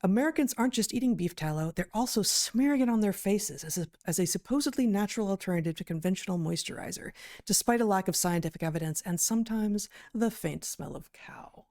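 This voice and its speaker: A woman's voice: mid-range voice, lively intonation, fast talker, warm tone, no echo, studio quality. A woman co-hosting a podcast, talking through an article conversationally, correcting herself and leaning on key words. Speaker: podcast co-host, biologist.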